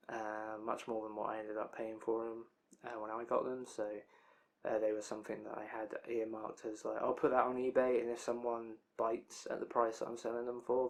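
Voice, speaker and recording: A young man with a tired, worn-out voice, rambling casually through an anime release news roundup with loose asides. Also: mid-range voice.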